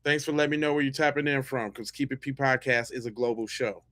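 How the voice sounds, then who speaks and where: A man's voice: medium pitch, fast, fairly bright tone, no echo, studio quality. A man hosting a podcast with slangy, mocking, unfiltered live reaction commentary. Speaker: podcast host.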